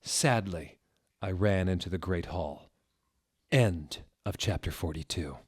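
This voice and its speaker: Low-voiced man reading dramatically. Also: slow. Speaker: narrator. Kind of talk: dramatic comedic reading of fan fiction.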